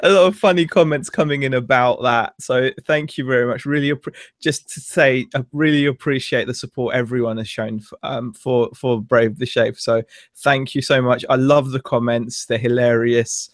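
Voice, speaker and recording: A man's voice: mid-range voice, fairly even intonation, normal speed, dry recording, studio quality. A man co-hosting a casual live-stream chat, giggly and self-mocking, with many fillers. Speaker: co-host.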